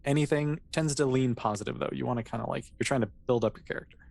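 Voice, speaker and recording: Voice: medium pitch, fast, gravelly, a little mellow. An American game master from Florida chatting casually and informally while hosting and explaining a tabletop game. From Florida, United States.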